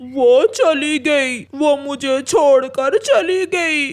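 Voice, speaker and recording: Woman voicing a high-pitched, earnest male cartoon crow. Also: very slow. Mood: heartbroken, desperate. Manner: earnest, plaintive calling. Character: devoted, hardworking male cartoon crow, lovelorn husband. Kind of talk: animated children's story.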